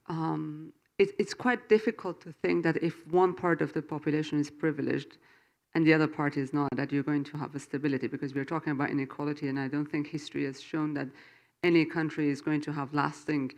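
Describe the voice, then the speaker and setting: A man speaking as a panelist, making a measured, analytical argument. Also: a little high, steady tone, creaky. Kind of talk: panel discussion.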